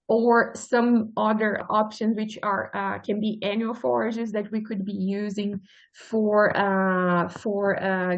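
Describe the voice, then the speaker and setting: A woman's voice: on the high side, low-quality recording. A woman from Brazil with a Brazilian Portuguese accent, giving a webinar talk as a forage extension specialist, explaining earnestly with many filler sounds. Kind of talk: webinar presentation.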